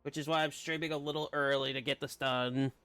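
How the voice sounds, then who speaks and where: A man's voice: fairly high pitch, level tone, a little fast, pushed and bright, smooth, dry recording. A man giving casual live-stream commentary while playing a video game. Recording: faint game music behind. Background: game audio with music.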